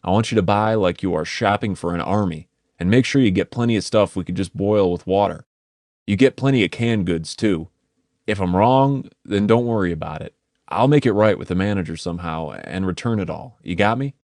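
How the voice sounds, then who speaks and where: Narrator as a panicked man, deep voice, yelling, swearing, dry sound. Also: brisk, no room sound. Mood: urgent, pleading. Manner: shouting, urgent, swears. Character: frantic man warning family of rising dead. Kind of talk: audiobook character voice.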